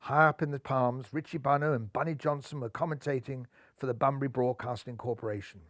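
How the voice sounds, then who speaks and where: A man in his sixties reading a children's story aloud in a lively, theatrical way, seated in a living room with a lapel mic. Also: medium pitch, brisk, no room sound, clean studio sound. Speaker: storybook narrator.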